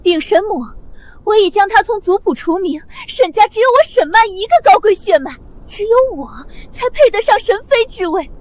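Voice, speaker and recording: Spiteful arrogant young noble lady, very high voice, swooping, shrill. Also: expressive, normal speed, a little mellow. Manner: shrill, boastful, spiteful, then panicked. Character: scheming arrogant young noblewoman, false divine consort. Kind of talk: costume drama dubbing.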